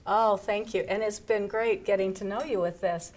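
A woman's voice: mid-range voice, fairly even intonation, normal speed, a little mellow. A middle-aged woman hosting a studio panel discussion, welcoming guests and putting questions to them. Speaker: host, moderator. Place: television studio set. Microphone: lapel mic.

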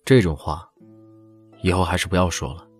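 Casting: aloof elder prince, deep-voiced, composed and calculating, audio drama with soft music behind. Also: male voice, steady tone, moderate pace, husky. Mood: cautious, composed.

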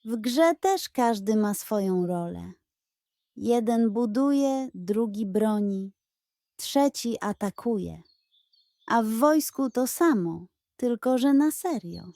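A woman's voice: on the high side, lively intonation, slow, warm tone, no echo, clean studio sound. A woman voicing a kindly, patient old grandfather who explains things earnestly in a children's story. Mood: cheerful.

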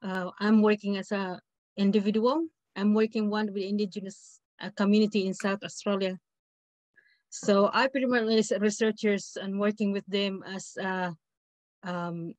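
A woman's voice: mid-range voice, steady tone, normal speed, a little mellow, no room sound. A middle-aged woman with a Filipino accent speaking informally as a participant on a video call. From Philippines. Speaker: community researcher, webinar participant. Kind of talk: informal question in a webinar. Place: small cluttered room indoors. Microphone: video call, laptop microphone. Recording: dull lo-fi video call audio.

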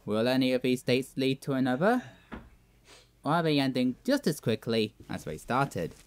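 Deep playful narrator voice. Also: female voice, expressive, moderate pace, darkish tone. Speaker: show narrator. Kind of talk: scripted voice-over.

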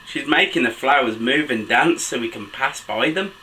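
A man in his thirties reading a picture book aloud to children in an expressive, sing-song, enthusiastic way. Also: medium pitch, moderate pace. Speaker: dad reading children's books for a video channel. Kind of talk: animated storybook read-aloud for children. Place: small bedroom with a bed and soft toys.

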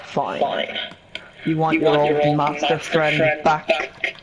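A man voicing the Master, a scheming male villain time traveler, theatrical and dropping low for threats, in a casual game roleplay. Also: completely flat delivery, normal speed, crowd noise. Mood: commanding.

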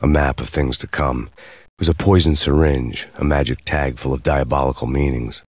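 An actor playing a grim, world-weary American police detective in a video game, speaking in measured, hardboiled style. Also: male voice, very deep voice, fairly even intonation, a little mellow, a little hiss or hum. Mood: grim, brooding. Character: brooding, world-weary New York police detective, man. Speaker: narrator and protagonist detective. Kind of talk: hardboiled noir scripted narration. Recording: music behind the voice.

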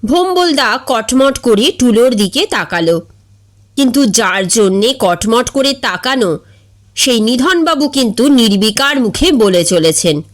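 A woman narrates a funny story in a slightly high voice. She reads slowly and evenly, giving each sentence room. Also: big pitch swings. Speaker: story reader.

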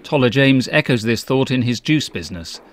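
A man narrating a business news feature in a crisp, measured, scripted voice-over. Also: mid-range voice, animated. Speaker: journalist narrator. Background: loud background music.

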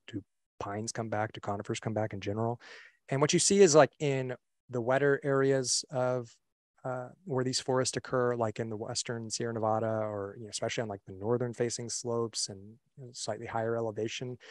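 A young man presenting a webinar on a video call, relaxed and full of fillers and restarts. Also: medium pitch, no echo, clean studio sound. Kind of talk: casual slideshow presentation. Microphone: computer mic on a video call.

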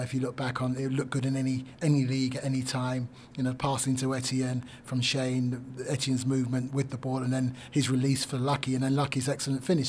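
Older coach, flat measured speech. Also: male voice, medium pitch, a little hiss or hum. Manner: measured, thoughtful, many fillers and restarts. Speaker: head coach. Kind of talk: post-game press conference. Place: small press room. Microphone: desk mic on stand.

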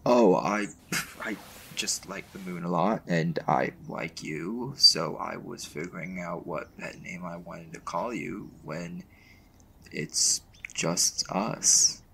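Guy acting as a smitten college boyfriend, really gravelly, slow and halting, soft romantic asmr roleplay. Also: sits low, a little hiss or hum, full character voice, rough recording. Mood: shy affectionate. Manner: soft, halting, stammering when flustered.

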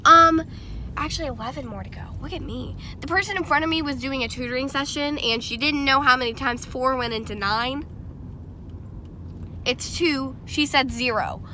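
A bubbly young woman chats straight to her phone camera in a high, bright voice. The phone audio carries constant background noise from the mall and the car. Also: moderate pace. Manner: bubbly, chatty, talks straight to viewers. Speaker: vlogger. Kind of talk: casual vlog to a phone camera. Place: car, bedroom and a busy shopping mall. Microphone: handheld phone camera at arm's length.

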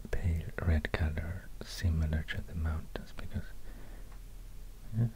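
A man with a Swedish accent, a native Swedish speaker, speaking soft ASMR narration in a halting, musing, breathy near-whisper. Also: very deep voice, level tone. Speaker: ASMR video creator. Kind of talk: soft-spoken ASMR narration while drawing. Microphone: close binaural microphone. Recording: audible noise, soft clicks.

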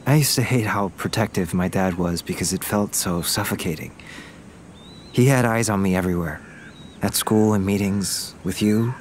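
A man's voice: fairly low pitch, moderate pace, slight background noise, close to an everyday voice. An actor playing a vulnerable young man back from military service in an audio drama, hesitant and earnest. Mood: tender, reflective. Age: young adult. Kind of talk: naturalistic audio drama acting.

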